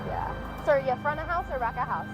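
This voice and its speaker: A woman with a high, very lively voice, chatting casually as a police officer. Loud music plays over her. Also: fast talker, lo-fi sound. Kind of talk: casual chat.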